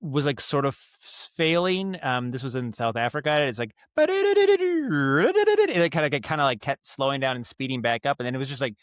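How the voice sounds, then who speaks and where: A man with a medium-pitched voice talks as a podcast guest in a casual, conversational way. He tells stories in an animated, halting manner, acting out little noises as he goes. The sound is dry, with very little room. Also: no room sound. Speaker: guest, audio drama writer and director. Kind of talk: casual podcast interview, storytelling.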